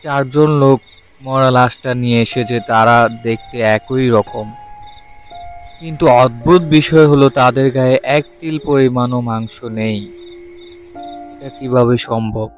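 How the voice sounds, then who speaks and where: Male narrator, medium pitch, scripted horror story, suspenseful, deliberate, faint music and crickets behind. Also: low-quality recording. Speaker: first-person story narrator.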